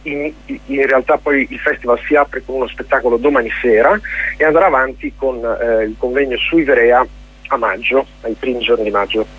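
Husky Italian man, halting interviewee. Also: medium pitch. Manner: hesitant, halting, with repeated words. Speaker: festival director and interviewee. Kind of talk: informal radio interview. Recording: dull, lo-fi radio interview audio.

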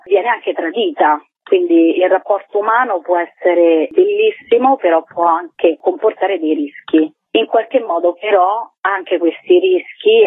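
A woman's voice: lowish voice, breathy edge. A woman, a theatre artist being interviewed, explaining her work in long, flowing, articulate sentences. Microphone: telephone. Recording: tinny phone line on radio.

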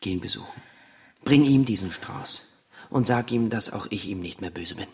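An actor playing a gentle, forgiving brother in a German radio drama, speaking with quiet earnestness in a gravelly voice. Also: male voice, fairly low pitch, moderate pace. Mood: gentle insistence. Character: gentle, forgiving brother urging his sister.

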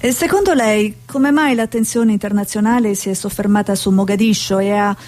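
An actress playing a determined, curious Italian TV reporter in a radio drama, probing and persistent. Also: female voice, mid-range voice, brisk, a little mellow, lightly acted. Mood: probing, polite.

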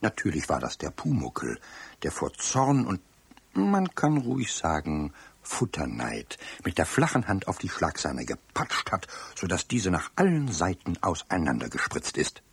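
A man narrating a children's radio play in a measured, wry, expressive way. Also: sits low, breathy edge, dry recording, heavily acted. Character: calm, wry male storyteller.

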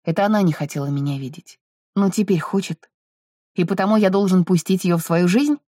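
A woman's voice: fairly low pitch, brisk, mellow, dry recording, studio quality, close to an everyday voice. A woman voicing a troubled, bitter husband of almost forty in an audiobook, terse and challenging. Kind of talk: audiobook character dialogue.

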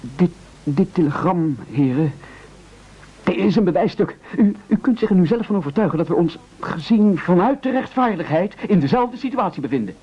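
A man's voice: high voice, lively intonation, moderate pace, husky, lo-fi sound. A man in a radio play playing an anxious, pleading victim who argues in formal, stammering protests.

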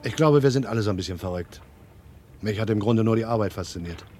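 An actor playing a weary, resigned art forger in a German crime radio play, terse and matter-of-fact. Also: male voice, lowish voice, quick pace, a little mellow, soft background music, natural voice. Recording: vintage radio play, faint engine-like noise.

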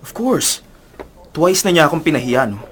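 A man's voice: on the high side, very animated, moderate pace, slight background noise. A man playing a cocky, vengeful young student in a radio drama, with a smug, slangy manner. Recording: loud music behind the voice.

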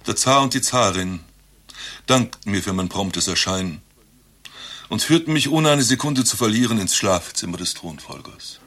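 An actor playing an eccentric doctor who claims to be Rasputin reborn, telling his tale in a deadpan, grandiose way in a satirical radio play. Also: lowish voice, moderate pace. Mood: grandiose, storytelling. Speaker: doctor recounting his past life.

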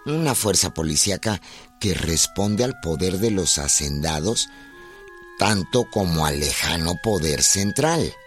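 An actor as the solemn narrator of a radio biography, measured and emphatic in a literary scripted style. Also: male voice, deep voice, animated, soft background music.

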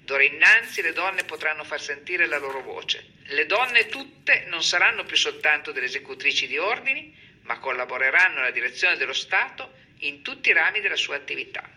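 A woman's voice: sits low, expressive, fairly quick, sharp tone, low-quality recording. A woman reading a formal, solemn 1940s-style radio address in a scripted, declamatory manner. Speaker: announcer.

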